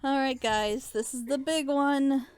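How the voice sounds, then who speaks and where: A woman's voice: sits high, steady tone, a little slow, darkish tone, a little hiss or hum. A woman hosting a casual reaction session with friends, rambling along in a giggly way. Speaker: host of a group reaction show.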